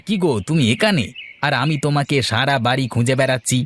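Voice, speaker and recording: A man's voice: medium pitch, brisk, a bit bright, lightly acted. A man playing a weary, chatty village farmer in a story, colloquial and full of exclamations. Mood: exasperated. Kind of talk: acted village story dialogue.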